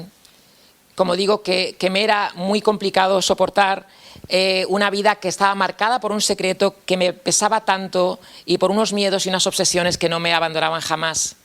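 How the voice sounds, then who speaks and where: A man's voice: high-pitched, little pitch movement, moderate pace, a bit bright, clear, resonant tone. Candid Spanish man giving a reflective talk. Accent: Spanish from Spain. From Spain, Castilla-La Mancha. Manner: reflective and candid, repeats and restarts phrases, ends points with a questioning tag. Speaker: speaker at a mental health talk. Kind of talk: personal testimony talk.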